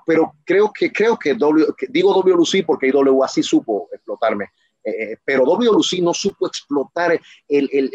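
A man's voice: on the high side, husky, no echo, lo-fi sound. A man in his forties talking passionately as an interview guest, emphatic and rambling with many restarts. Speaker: guest, professional wrestler. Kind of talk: casual interview talk.

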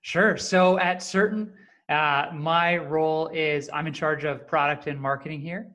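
A man in his thirties, a startup co-founder answering podcast questions in a friendly, joking, relaxed way. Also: a little high, measured pace. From Canada. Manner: friendly, jokey, relaxed storyteller. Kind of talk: casual interview answers.

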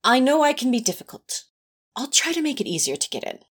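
A woman's voice: high voice, big pitch swings, brisk, husky, exaggerated voice. A woman playing a prim, tender male angel in a fan audio drama, speaking in a fussy, formal and earnest way. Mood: apologetic.

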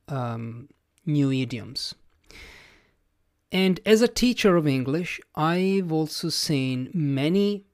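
Slow, reflective teacher with an Italian accent. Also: medium pitch, dry recording, clean studio sound. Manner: reflective, plain-spoken, thinks aloud. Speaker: English teacher. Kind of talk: podcast lesson monologue.

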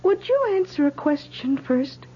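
A woman's voice: sits high, sing-song, a little slow. An actress playing a coy, outwardly sweet and soothing American woman in a radio drama. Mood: coy, probing. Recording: muffled, hissy vintage radio broadcast.

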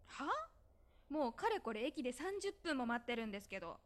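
A woman acting an exasperated, bossy and teasing high school girl in a Japanese voice drama, with an emphatic scolding manner. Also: sing-song, brisk. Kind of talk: Japanese voice drama phone-call dialogue.

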